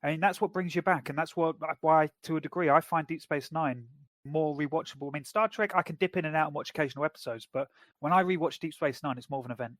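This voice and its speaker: A man co-hosting a podcast, chatting casually and explaining plots in a measured way. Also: a little high, fairly bright tone, dry recording. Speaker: podcast co-host. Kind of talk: casual chat.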